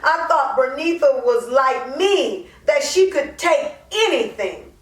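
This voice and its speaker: A woman performing a dramatic monologue as an anguished, guilt-ridden mother pleading to God, impassioned and drawn-out. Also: high voice, expressive. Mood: desperate pleading. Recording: roomy echo, distant microphone.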